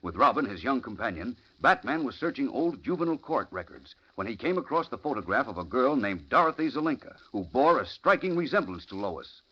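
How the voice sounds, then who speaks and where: An actor playing a theatrical, urgent announcer narrating a 1940s radio serial. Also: male voice, medium pitch, big pitch swings, brisk, hardly any pauses, breathy edge. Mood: suspenseful. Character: dramatic radio serial announcer recapping suspense. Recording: muffled old radio recording.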